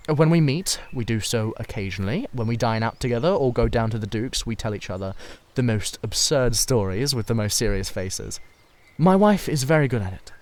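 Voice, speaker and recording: A man voicing a cynical, amused young gentleman in a dramatised audiobook, delivering his wit in an emphatic, theatrical drawl. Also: mid-range voice, natural voice. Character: witty, cynical young gentleman, amused and languidly self-assured.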